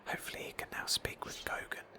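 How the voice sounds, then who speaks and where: A woman narrating a roleplay game playthrough in a breathy ASMR whisper, slowly and with pauses.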